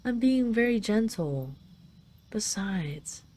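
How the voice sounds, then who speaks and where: Woman playing a mellow, tender dragon queen. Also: medium pitch, expressive, slow pace. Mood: flustered, teasing. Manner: affectionate, gently teasing, wistful. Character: dragon queen, tender, teasing, possessive lover. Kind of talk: intimate roleplay audio drama, slow and soft.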